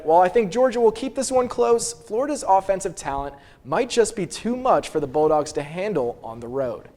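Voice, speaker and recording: A man's voice: fairly high pitch, a little mellow. A young man giving scripted sports analysis on a student news show, with a confident, opinionated delivery. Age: young adult.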